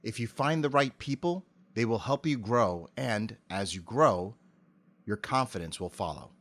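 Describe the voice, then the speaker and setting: A man speaking as an interview guest, giving prepared answers in an articulate, measured way. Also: mid-range voice, lively intonation, a bit bright. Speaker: interview guest, amateur voice actor and animator.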